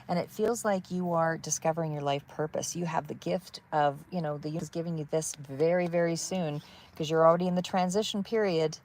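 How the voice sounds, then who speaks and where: A woman giving a live tarot reading in a calm, soothing voice that wanders between thoughts with many fillers. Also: sits low. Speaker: tarot reader.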